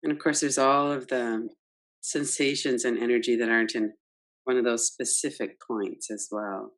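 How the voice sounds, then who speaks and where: A woman leading a guided yoga nidra meditation, gentle and unhurried, guiding with soft questions. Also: extremely low pitch, steady tone. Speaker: meditation teacher.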